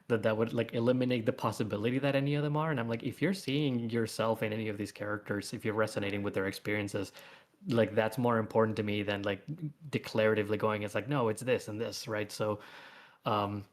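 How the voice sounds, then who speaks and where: A man chatting casually as a guest comic creator, rambling along in an earnest, self-effacing way. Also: lowish voice. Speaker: guest comic author and artist. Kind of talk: casual livestream chat.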